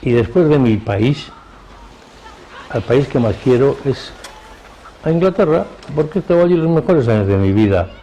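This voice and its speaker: A man's voice: mid-range voice, vocal fry, dark tone. An elderly man, reminiscing reflectively in an interview. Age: older.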